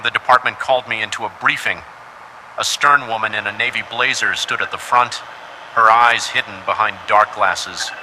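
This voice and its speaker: A man's voice: fairly low pitch, pushed and bright, slight background noise, rough recording. A man reading a scripted first-person horror story as its narrator.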